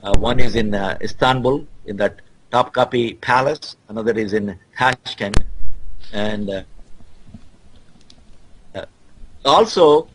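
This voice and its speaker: A man speaking as a guest scholar in a debate, explaining his case in a halting but insistent way. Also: fairly low pitch, a bit bright, a little hiss or hum, rough recording.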